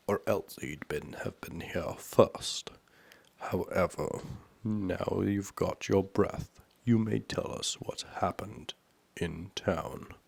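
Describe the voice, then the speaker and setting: A man voicing a fussy, pompous and feeble old storybook king with slow, emphatic delivery. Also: deep voice, vocal fry, breathy edge, dry recording, full character voice. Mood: self-important.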